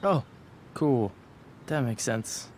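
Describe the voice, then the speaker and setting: A man's voice: medium pitch, animated, slow, darkish tone, a little hiss or hum. A man playing an earnest, hopeful teenage boy, friendly and a little tentative, in a video game cutscene. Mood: let down.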